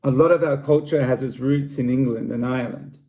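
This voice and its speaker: A middle-aged Australian man giving a friendly, measured talk to students about his country as a school library director. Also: medium pitch, warm tone. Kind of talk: scripted cultural presentation for students.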